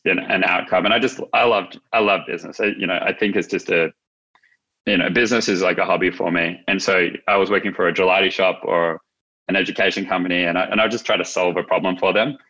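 A man's voice: sits low, sharp tone. A young adult man with an Australian accent, chatting casually and reflectively as an interview guest on a video call. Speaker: interview guest, marketing agency founder. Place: indoors, small office room, on a video call. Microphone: video call, no headset seen. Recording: slightly dull video-call audio.

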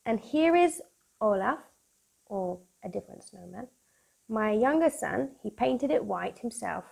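A British woman in her thirties presenting for young children in a playful, expressive, sing-song manner, as if hosting a picture-book club. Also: mid-range voice, a little slow, creaky, slightly rough. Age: 30s. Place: small room at home, indoors. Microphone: lapel mic.

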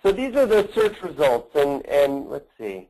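Man presenting a halting product demo. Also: fairly high pitch, sing-song, dark tone, rough recording. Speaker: company representative presenting a demo. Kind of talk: conference call product demo.

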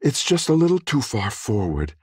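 A man's voice: medium pitch, very animated, moderate pace, slightly rough, no echo, pitched above the performer's own voice. Man doing a hearty young woman, rich contralto, polite, amused, confident, lilting, audiobook character.

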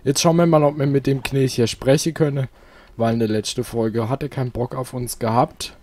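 A man's voice: medium pitch, fairly even intonation, moderate pace, darkish tone, slight background noise. A man hosting a let's play, chatting casually and thinking aloud while he plays, seated at home. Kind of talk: casual gameplay commentary.

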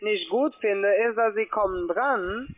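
A man with a foreign accent, not a native German speaker, arguing insistently and haltingly as a call-centre agent on the phone. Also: high voice. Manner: insistent, halting phrasing with repetitions. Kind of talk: argumentative sales phone call. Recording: muffled, band-limited phone line.

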